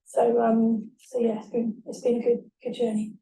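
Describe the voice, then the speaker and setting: A woman's voice: a little high, little pitch movement, moderate pace, dark tone. A woman speaking on a panel as a tech founder, businesslike, with frequent ums and restarts. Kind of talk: panel talk. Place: event hall. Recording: echoey room, distant mic.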